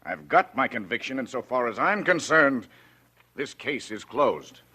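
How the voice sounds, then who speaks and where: An actor playing a hard-nosed, relentless district attorney with an accusatory manner in 1940s radio style. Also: male voice, mid-range voice, lively intonation, a little slow, breathy edge, faint music behind, full character voice. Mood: dismissive. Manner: accusatory, forceful.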